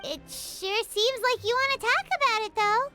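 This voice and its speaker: A woman voicing a tiny, chatty, gluttonous fairy girl in a video game, squeaky and childlike, full of exclamations. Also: normal speed, over music. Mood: surprised, cheeky. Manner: refers to self in third person, exclaiming. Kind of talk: animated video game voice acting.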